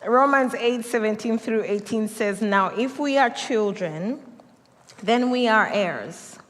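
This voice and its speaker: A woman pastor from Kenya with a Kenyan accent, preaching a sermon with animated storytelling, acted quotes and laughter. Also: on the high side, slow pace. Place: church sanctuary. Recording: long church hall reverb.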